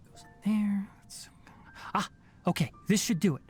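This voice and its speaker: A man playing a young, analytical forest scholar in a video game, explaining things in a measured, matter-of-fact, serious way. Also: high-pitched, faint music behind, lightly acted. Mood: thoughtful, matter-of-fact.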